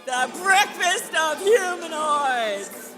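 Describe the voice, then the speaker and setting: A woman's voice: very high-pitched, big pitch swings, drawn-out words. A woman narrating emphatically and mock-dramatically in the style of a 1940s radio serial announcer. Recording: live convention stage, loud music and crowd.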